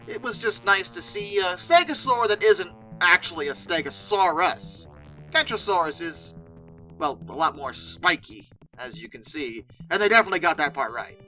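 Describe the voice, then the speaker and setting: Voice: mid-range voice, bright, forceful tone, rough recording. An opinionated video host giving scripted commentary with conversational asides, stressing points by emphatic repetition. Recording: music playing behind the voice. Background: background music.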